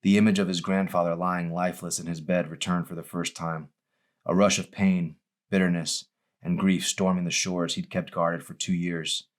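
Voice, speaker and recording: A man's voice: sits low, flat delivery, moderate pace. A man reading his own novel aloud as a dramatic audiobook narration.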